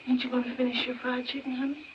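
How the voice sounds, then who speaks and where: Actress as an old Southern granny, high voice, muffled film sound. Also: female voice, flat delivery, quick pace, close to an everyday voice. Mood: tender, fussing. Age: older. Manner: folksy dialect speech. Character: elderly Southern grandmother, plain-spoken, caring and world-weary. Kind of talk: 1940s film drama acting. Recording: muffled noisy 1940s film soundtrack, distant.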